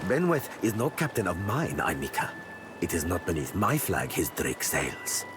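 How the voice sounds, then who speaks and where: A courtly, calculating pirate captain for a game, very low in pitch. His phrasing is formal and elaborate, rising and falling in lively swoops. Loud music plays behind him. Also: moderate pace. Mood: disdainful. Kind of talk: video game character acting.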